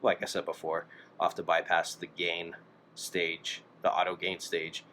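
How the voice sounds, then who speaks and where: A man's voice: low-pitched, little pitch movement, moderate pace, sharp tone, slight background noise. A man thinking aloud in a casual, hesitant way, half talking to himself as he narrates hands-on hobby work. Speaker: DIY electronics hobbyist narrating his work.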